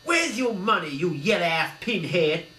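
A man voicing a violent, foul-mouthed American gangster avocado who yells threats. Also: sits high, sing-song, moderate pace, recorded from a distance, voice pushed up, full character voice. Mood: menacing shout. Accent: put-on American. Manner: loud yelling threats. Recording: echoey room, faint mains hum.

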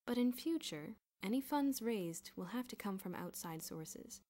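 A woman's voice: mid-range voice, expressive, warm tone. Woman playing a stern, dry male headmaster. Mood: stern, measured. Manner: formal, measured, authoritative.

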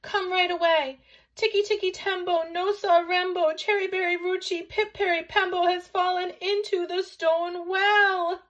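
Woman voicing a shrill, breathless little storybook boy. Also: level tone, unhurried, a bit bright. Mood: urgent. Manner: breathless, pleading. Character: small frightened boy, earnest, urgently shouting for help. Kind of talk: children's storybook reading.

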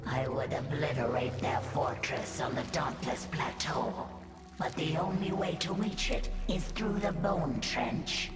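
Slow, fierce alien brood mother. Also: deep voice, roomy sound, over music. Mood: grave, warning. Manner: slow, solemn, menacing delivery. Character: proud, fierce alien insectoid brood mother, servile to her queen. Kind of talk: video game cutscene acting.

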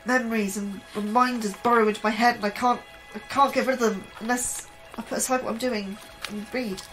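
An actress playing an anxious, troubled woman scientist in an audio drama, confiding her fears in a hesitant, halting way. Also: fairly high pitch, fairly even intonation, fairly bright tone, soft background music, natural voice, rough recording. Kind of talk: audio drama acting, diary-like monologue and dialogue.

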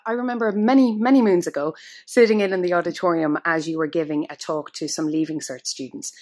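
An Irish woman interviewing a guest in a conversational style. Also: lowish voice.

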